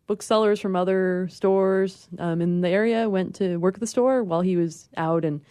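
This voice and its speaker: A woman's voice: medium pitch, normal speed, mellow, clear, resonant tone, no room sound. A woman chatting casually as a guest on a radio show. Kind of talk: casual chat.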